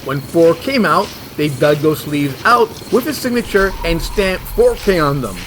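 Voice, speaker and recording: An actor plays a stubborn, fussy male monster hunter in a comedy audio drama. He is defensive and obtuse, with a slightly high voice over clear background noise. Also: animated, unhurried. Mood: fussy, matter-of-fact. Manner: defensive, obtuse, matter-of-fact.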